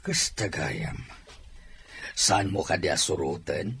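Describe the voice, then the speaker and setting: A man playing a concerned older father counselling his grown son in an audio drama, with a gravelly voice. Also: a bass voice, sing-song, slow pace, a bit bright, slight background noise, exaggerated voice. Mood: admonishing. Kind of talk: dramatized audio story acting.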